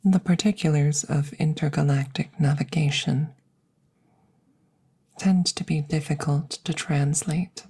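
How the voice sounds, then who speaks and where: A low, very slow female alien played by a woman speaks in a soft, often whispered ASMR delivery. Her tone is mellow and breathy, with some gravel in it. She is formal and clinical, a curious examiner choosing each word with care. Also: exaggerated voice. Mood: matter-of-fact, curious. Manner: formal, clinical, precise, measured phrasing. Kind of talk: ASMR audio roleplay.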